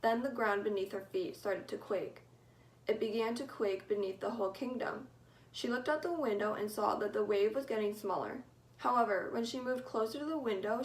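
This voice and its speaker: A teenage girl giving a mock-serious school presentation, telling a made-up story in a deadpan, mock-formal way. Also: mid-range voice, poor audio. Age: teens. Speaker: student presenter.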